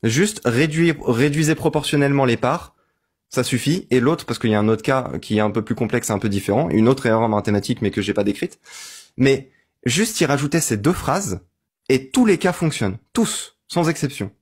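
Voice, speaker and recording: A man's voice: medium pitch, lively intonation, normal speed, breathy edge. A man in an online voice-chat debate, laying out his argument step by step and asking for agreement. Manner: methodical, argues step by step, seeks agreement. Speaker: debate host.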